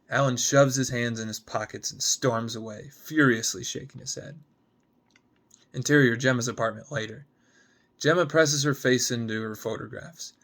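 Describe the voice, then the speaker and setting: A man's voice: medium pitch, a bit bright. A man reading scripted narration and scene directions from a screenplay as a dramatic reading.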